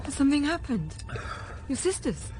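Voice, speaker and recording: An actress playing a frank, self-possessed young schoolteacher in a period radio drama, narrating quietly and thoughtfully. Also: female voice, sits high, sing-song, normal speed, poor audio. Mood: puzzled. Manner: quiet, composed, literate storytelling.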